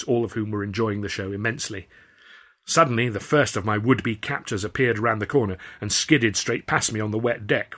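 A man reading his own comic novel aloud as a first-person storyteller, in animated audiobook narration. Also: fairly low pitch.

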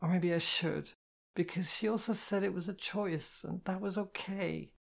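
A reader voicing a tipsy, tearful young woman who speaks in slow, halting, soft phrases and stumbles over her words. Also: mid-range voice, fairly even intonation, gravelly, voice pushed up. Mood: earnest, tearful. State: drunk and weepy.

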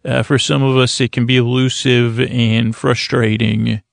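A man with a creaky voice hosting a bedtime podcast in a rambling, halting, stuttering, self-deprecating monologue. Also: medium pitch, flat delivery, dry recording, clean studio sound. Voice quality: creaky, gravelly. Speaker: podcast host.

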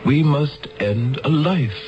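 A man playing a grave, commanding elder of a future ruling council in a 1970s radio drama, solemn and measured. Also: on the high side, animated. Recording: muffled vintage radio broadcast, faint music.

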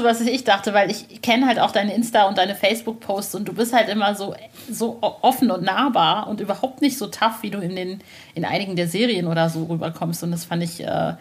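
A woman's voice: mid-range voice, breathy edge. A woman interviewing a guest on a podcast in an informal, enthusiastic, chatty way. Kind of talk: casual chat.

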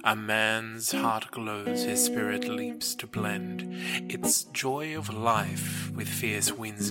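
A man reciting poetry expressively, in a measured and dramatic way. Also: lowish voice. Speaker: poetry podcast reader. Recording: loud guitar music behind voice. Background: acoustic guitar music.

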